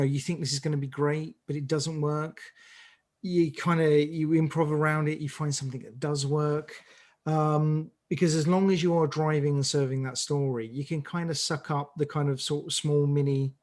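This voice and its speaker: A man's voice: on the high side, a little mellow. A man giving rambling, emphatic advice in a conversational interview as a director. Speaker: audio drama director on an interview panel.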